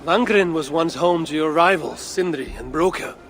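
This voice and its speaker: A man playing a grave, brooding older Norse god in a video game cutscene, speaking in a measured, sometimes hushed way. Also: a little high, soft background music, lightly acted. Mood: resolute.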